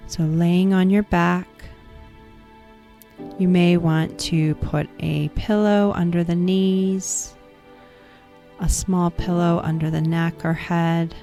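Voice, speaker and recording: A woman guiding a yoga nidra relaxation practice in a calm, soothing, instructive manner. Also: lowish voice. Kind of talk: guided meditation.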